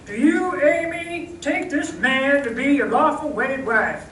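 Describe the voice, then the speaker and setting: A woman's voice: high-pitched, measured pace, a bit bright, a little hiss or hum. A woman playing a formal Justice of the Peace conducting a wedding in a radio comedy. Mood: solemn. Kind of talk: old-time radio comedy sketch acting. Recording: echoey hall, distant mic.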